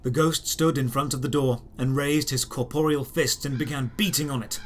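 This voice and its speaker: A man reading dramatic first-person fantasy narration as a storyteller, measured, with deliberate pauses. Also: medium pitch, steady tone, faint music behind. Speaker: writer and narrator.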